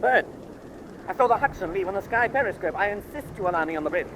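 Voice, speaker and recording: An actor playing a zealous, insubordinate U-boat officer in a radio drama, urgent and insistent. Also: male voice, sits high, animated, quick pace. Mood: insistent, defiant. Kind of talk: vintage-style radio drama acting. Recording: noisy, distant, through submarine voice pipe.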